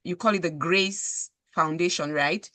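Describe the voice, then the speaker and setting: A woman from Cameroon hosts a conversational interview in a lowish voice. She speaks emphatically and keeps murmuring agreement, and the sound is dry and close. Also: a little slow, a bit bright, no echo. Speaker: podcast host.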